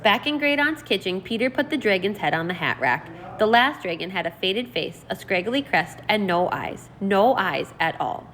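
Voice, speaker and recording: Female teacher, read-aloud lesson, lively varied intonation, deliberate delivery, noticeable background noise. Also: medium pitch. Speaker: teacher reading a story aloud to pupils. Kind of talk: read-aloud lesson, narrating and explaining vocabulary.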